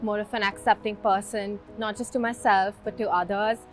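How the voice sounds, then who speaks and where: A woman's voice: medium pitch, steady tone, normal speed, faint music behind. A young woman art student answering interview questions with enthusiasm. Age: young adult.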